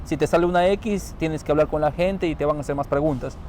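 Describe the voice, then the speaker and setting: Interviewee, male, Ecuadorian accent, calm and explanatory, fairly high voice, outdoors with faint traffic. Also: quick pace. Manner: calm, explanatory, often trails off softly. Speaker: interviewee, newly arrived asylum seeker. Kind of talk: casual interview answers. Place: outdoors on a city street. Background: faint traffic noise.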